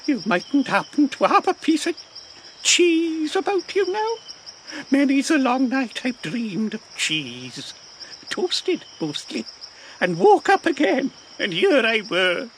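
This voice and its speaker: A man's voice: very high-pitched, big pitch swings, slow, a bit bright, a little hiss or hum, heavily acted, poor audio. A man playing a ragged, half-crazed old marooned sailor in an audio drama, rambling and wheedling in rustic pirate dialect. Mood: wistful, yearning. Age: older.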